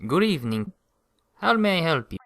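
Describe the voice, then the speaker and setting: A man's voice: on the high side, very animated, normal speed, heavy background noise. A man playing a helpful, polite ship's phone attendant in a radio play, speaking courteously.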